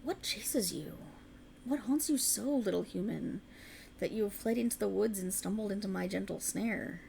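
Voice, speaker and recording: Creaky, coaxing ancient faerie woman, acted by a woman. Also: mid-range voice, normal speed, darkish tone, a little hiss or hum, close to an everyday voice, low-quality recording. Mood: gentle, sympathetic. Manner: soothing, formal archaic phrasing, coaxing. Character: ancient alluring female faerie, tender yet sinisterly possessive. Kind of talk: scripted ASMR roleplay.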